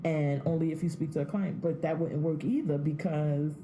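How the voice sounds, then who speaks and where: A woman's voice: low-pitched, steady tone, normal speed, dark tone, slight background noise. A woman co-hosting a podcast, chatting casually in a blunt, profane way and laughing often. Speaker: podcast co-host. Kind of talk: casual opinionated chat.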